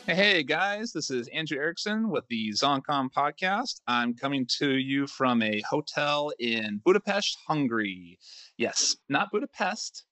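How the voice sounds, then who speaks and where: A man's voice: mid-range voice, very animated, unhurried, bright, forceful tone, no echo, faint music behind. An upbeat man hosts a podcast in easy, casual conversation, laughing often. His voice sits in a middle register. He records in a hotel room with a dry, close sound. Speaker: podcast host. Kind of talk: casual interview chat.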